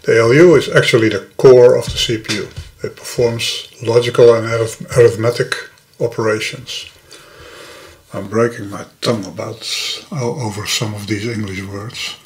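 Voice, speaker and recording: A man's voice: fairly low pitch, steady tone, normal speed. Older man, dutch accent, methodical tutorial voice, home workshop desk, webcam mic. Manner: patient, methodical explaining with small stumbles over words. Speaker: hobbyist teacher. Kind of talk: screen-recorded programming tutorial. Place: home workshop room with electronics.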